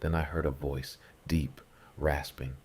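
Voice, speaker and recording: A man narrating a scripted first-person horror story in a measured, ominous way, with music behind him. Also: rumbling low, little pitch movement, breathy edge. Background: music bed.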